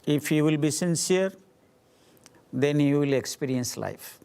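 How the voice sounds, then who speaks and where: A man with an Indian accent, a spiritual teacher answering questions at a gathering in slow, deliberate phrases with long pauses. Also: on the high side, gravelly, darkish tone, clean tone. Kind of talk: satsang talk answering questions.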